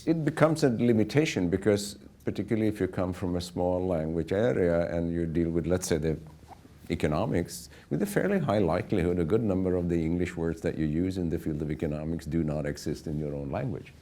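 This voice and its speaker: A man speaking as a panelist on a conference panel, explaining in a measured way. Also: deep voice, animated, warm tone. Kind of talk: panel discussion.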